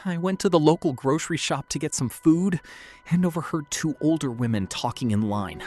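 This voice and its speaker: A man's voice: fairly high pitch, a little fast, darkish tone, soft background music. A man narrating a first-person horror story, tense and often dropping to a whisper.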